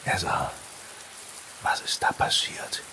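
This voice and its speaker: An actor playing a frightened, weary man in a horror radio drama, speaking in hushed dread. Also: slow, in a whisper. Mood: appalled. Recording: noisy hiss or rain ambience.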